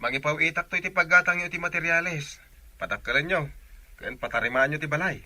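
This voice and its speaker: A man's voice: a little high, quick pace, pushed and bright, no room sound. An actor playing a devoted young man who works away from his family, in radio drama style with a Filipino Ilocano accent. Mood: fond, reassuring. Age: young adult. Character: devoted young man working away, attentive sweetheart and son.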